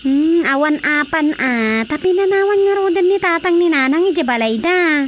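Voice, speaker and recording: Radio drama role: worried daughter, Filipino accent, high-pitched, full of exclamations. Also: female voice, lively intonation, moderate pace, a little hiss or hum. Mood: worried, concerned. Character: worried married daughter, concerned for her quarreling parents.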